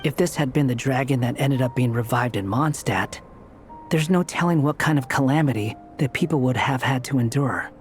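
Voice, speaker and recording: A man's voice: mid-range voice, normal speed, soft background music, close to an everyday voice. A man voicing a proud, sardonic young wanderer in a video game, with a cool, aloof manner. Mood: wry, brooding. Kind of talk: video game character acting.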